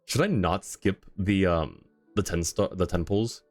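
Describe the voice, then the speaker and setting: Man, low voice, casual game streamer, rambling, thinking aloud, game music in the background. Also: a little slow, no room sound. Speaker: game livestreamer. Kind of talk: casual livestream chat while playing a game. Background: video game music.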